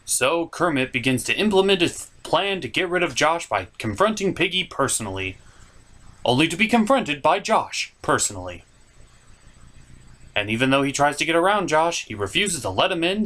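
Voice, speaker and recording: A man's voice: fairly high pitch, very animated, normal speed, pushed and bright. A man hosting a comedic review, narrating a recap in a theatrical way with playful asides. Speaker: host of a puppet show review series.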